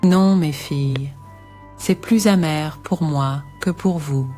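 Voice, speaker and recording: Woman playing a solemn, low-voiced grieving older widow. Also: slow, warm tone, soft background music. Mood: sorrowful pleading. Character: bitter, grieving older widowed mother-in-law in biblical cartoon. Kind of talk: animated Bible story voice acting.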